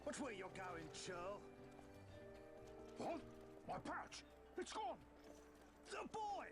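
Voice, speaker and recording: A man voicing a gruff, excitable townsman in a fantasy video game, with a gravelly voice. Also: extremely high pitch, takes it slowly, over music, full character voice. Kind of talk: voice acting in a game cutscene.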